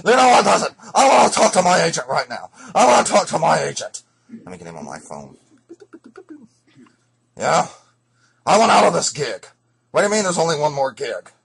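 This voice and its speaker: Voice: mid-range voice, sing-song, normal speed, vocal fry, long pauses, crowd noise. A performer playing an exasperated male showbiz actor griping on the phone in an amateur comedy skit. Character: fed-up male show-business performer phoning his agent. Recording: noisy home recording with clicks.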